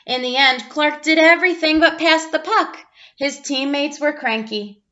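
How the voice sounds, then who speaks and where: A woman reading a children's picture book aloud with expressive storytelling narration. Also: sits high, moderate pace, muffled recording.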